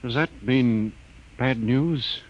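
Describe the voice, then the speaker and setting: Man acting a slow-spoken, mild civilian passenger. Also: medium pitch, natural voice. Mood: hesitant. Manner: hesitant, polite. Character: mild, hesitant male civilian ship passenger. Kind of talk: old-time radio science fiction acting. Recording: muffled, noisy old radio recording.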